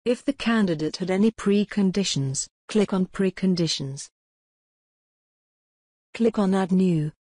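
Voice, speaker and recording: A woman reading scripted tutorial narration in a measured, impersonal, step-by-step way. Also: mid-range voice, warm tone, no echo.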